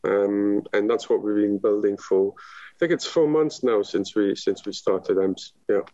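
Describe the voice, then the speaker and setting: A man's voice: on the low side, unhurried, mellow, no echo. Lebanese guy gushing about his business, lots of ums, muffled dry sound. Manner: enthusiastic, rambling, frequent fillers. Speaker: guest, business founder. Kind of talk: casual podcast chat.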